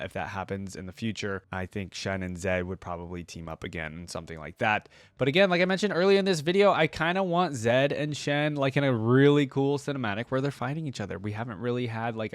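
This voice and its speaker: A man's voice: on the low side, no echo. A man giving casual, informal commentary to viewers as a reaction host, rambling and thinking aloud. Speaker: gaming reaction YouTuber, host.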